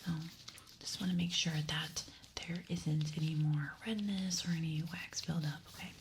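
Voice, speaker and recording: A woman in a breathy whisper, softly giving short, reassuring instructions as an examiner in an ASMR medical roleplay. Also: low voice, fairly even intonation, normal speed, a little hiss or hum. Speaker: ASMR creator playing an ear examiner.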